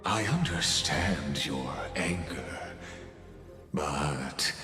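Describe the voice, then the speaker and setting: A calculating game villain with an extremely deep voice that moves at a very slow, measured pace. He is formal and condescending, every phrase politely menacing. Long reverb surrounds the words, with music behind. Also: lively intonation, exaggerated voice. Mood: composed, patronizing. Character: cold, calculating male mastermind villain, polite and patient. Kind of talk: theatrical video game voice acting.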